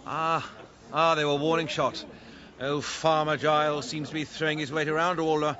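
An actor playing a polite, well-spoken, diplomatic country gentleman in a radio drama. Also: fairly high pitch, little pitch movement, moderate pace, highs cut off. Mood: wry, teasing. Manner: hesitant, courteous, well-spoken.

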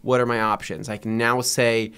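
Man, thirties, podcast co-host, smooth resonant voice, rambling and jokey. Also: mid-range voice, little pitch movement, moderate pace, a bit bright. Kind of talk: casual chat. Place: podcast studio. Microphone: desk broadcast mic.

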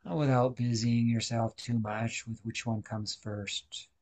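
A man leading a guided meditation, speaking deliberately in short instructional phrases with pauses. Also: mid-range voice, little pitch movement, darkish tone.

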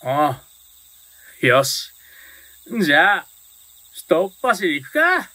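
A man's voice: a little high, big pitch swings, slow, bright, forceful tone, exaggerated voice. A man playing a hot-blooded, big-brotherly teenage delinquent leader in a radio drama. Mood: fired up.

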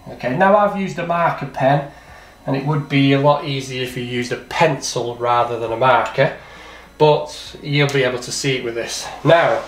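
A middle-aged man, a plumbing instructor, talks through a hands-on demonstration step by step in a plain, practical, self-deprecating way. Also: medium pitch. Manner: plain, practical, self-deprecating, thinks aloud while working. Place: small training workshop, indoors. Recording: echoey workshop with faint clatter.

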